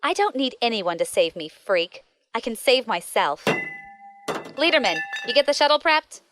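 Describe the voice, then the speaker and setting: A woman's voice: fairly high pitch, big pitch swings, moderate pace, background noise. A woman playing a brash female space pirate captain, defiant and cocky. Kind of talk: audio drama acting. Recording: music score underneath.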